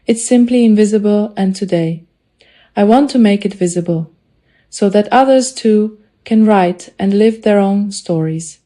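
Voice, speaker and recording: A woman with a foreign accent reading a prepared personal testimony in an earnest, deliberate way, in short measured phrases. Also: medium pitch, warm tone. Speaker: student telling a personal story.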